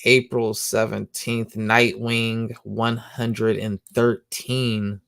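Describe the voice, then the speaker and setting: A man hosting a comic book show, rambling casually in slang, who says he has a loud voice. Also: lowish voice, level tone, drawn-out words, creaky, no room sound, studio quality. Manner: slangy, rambling, lots of "you know what I'm saying". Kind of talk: casual monologue.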